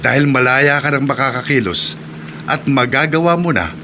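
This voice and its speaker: An actor playing a reassuring older brother in a radio drama, explaining things calmly. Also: male voice, mid-range voice, normal speed, lightly acted. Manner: calm, explaining tone. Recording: noisy recording.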